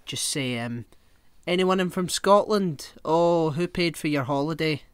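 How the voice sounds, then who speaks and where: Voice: mid-range voice, a little slow, clean tone, no echo. A podcast co-host chatting casually and swearing freely while telling rambling comic stories. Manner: rambling, animated storyteller.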